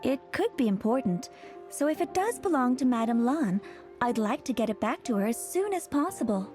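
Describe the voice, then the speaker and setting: A woman's voice: fairly high pitch, very animated, fairly quick. A woman playing a polite, earnest young female shop worker in a video game. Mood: earnest, helpful. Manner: courteous, earnest. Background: game music.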